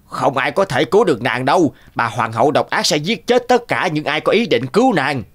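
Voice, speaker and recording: A man's voice: a little high, expressive, fairly quick, a bit bright. A man voicing odd, loyal servants with strange powers in a fairy-tale cartoon, good-natured and eager. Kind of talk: animated fairy-tale dubbing.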